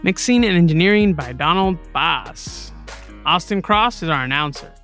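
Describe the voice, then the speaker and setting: A woman's voice: fairly low pitch, animated, normal speed, a bit bright, faint music behind. An American woman co-hosting a podcast, reading scripted credits and announcements. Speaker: podcast co-host.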